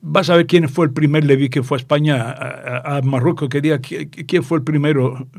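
An older man with a northern Moroccan Judeo-Spanish accent and a fairly high-pitched voice, recorded dry. He tells things conversationally and playfully, wandering off to explain dialect words. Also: fairly even intonation, fairly quick, creaky, darkish tone, dry recording. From Tangier, Morocco. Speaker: interview guest, author. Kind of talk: conversational storytelling.

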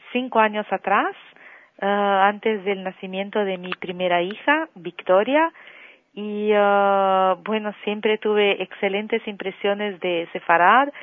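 A Brazilian-born woman singer, a guest on a radio interview by phone, telling her story slowly with long pauses and fillers. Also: medium pitch, no room sound. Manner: slow, warm storytelling with drawn-out fillers, sings softly at times. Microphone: telephone. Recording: tinny phone line.

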